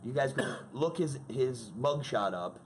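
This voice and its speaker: A man chatting casually about his favorite albums, an excitable storyteller who swears freely. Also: medium pitch, a little slow, background noise. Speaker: guest sharing favorite albums. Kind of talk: casual profane chat.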